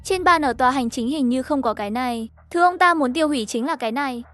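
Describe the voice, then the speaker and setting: A woman's voice: high-pitched, lively intonation, fast, fairly bright tone, soft background music. A woman reading a scripted story narration, voicing the dialogue lines herself as the narrator. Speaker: narrator of an animated horror story recap.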